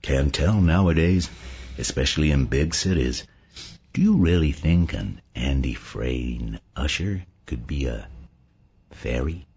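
A man's voice: rumbling low, big pitch swings, takes it slowly, darkish tone, husky, muffled recording, voice pushed down, full character voice. A man playing a naive, prudish Southern American delegate in an audiobook, folksy and earnest. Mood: wary, folksy.

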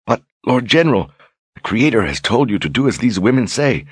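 A man's voice: mid-range voice, sing-song, fairly quick, breathy edge, no echo, clean studio sound, pitched above the performer's own voice. A man voicing a simple, loyal young woman who talks cautiously in rustic grammar. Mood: naive.

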